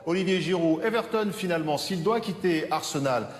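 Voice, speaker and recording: Higher-voiced male host in echoey room. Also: lively intonation, brisk. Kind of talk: moderating a sports debate.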